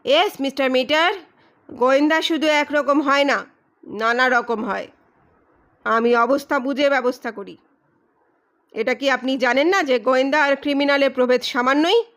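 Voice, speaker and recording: Woman as a menacing male detective, very high voice, loud, blustering, boastful, emphatic audiobook drama. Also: normal speed, long pauses. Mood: menacing, smug.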